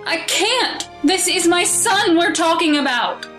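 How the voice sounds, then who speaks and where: Woman playing an anxious, protective mother, high-pitched. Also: expressive, a little slow, fairly bright tone, soft background music, exaggerated voice. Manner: defensive, anxious. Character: protective, distressed mother of a troubled boy. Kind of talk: audio drama acting.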